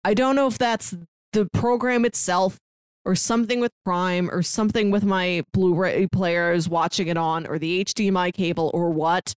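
A woman's voice: on the low side, steady tone, normal speed, smooth, no room sound. Wry, chatty woman, dry close recording. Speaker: podcast co-host. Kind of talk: casual chat recapping films and shows.

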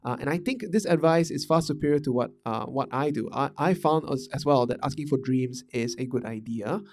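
A man's voice: medium pitch, brisk. A man from Malaysia talking through management ideas in a casual monologue, thoughtful and full of fillers and restarts. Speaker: podcast host discussing management.

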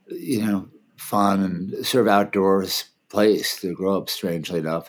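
A man in his fifties from Washington, D.C., a filmmaker, talking casually and hesitantly in a video call interview. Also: low-pitched. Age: 50s. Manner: relaxed, hesitant, many fillers. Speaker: filmmaker and festival founder, guest.

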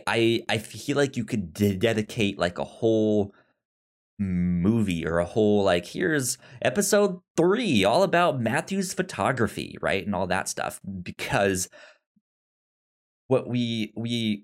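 A man with a fairly low voice talks as a podcast co-host in a loose film discussion. He is halting and rambling, often doubling back to restart his phrases. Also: expressive.

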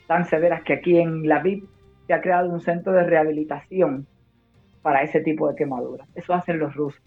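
A woman from Cuba, a war correspondent and nurse, speaking emphatically and with urgency in long turns during a phone interview. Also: sits low. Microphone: phone call. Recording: tinny phone line.

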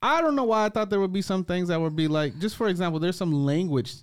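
A man's voice: high voice, lively intonation, fast, smooth, dry recording, studio quality. A young man from Detroit co-hosting a podcast, chatting casually and laughing as he tells stories. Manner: laid-back, laughs often, quotes friends in slang. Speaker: podcast co-host. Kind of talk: casual chat.

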